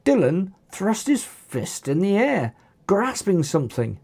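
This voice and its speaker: A narrator reading an action story as its author, with animated, dramatic delivery and acted dialogue. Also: medium pitch, unhurried, breathy edge. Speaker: author narrating own book.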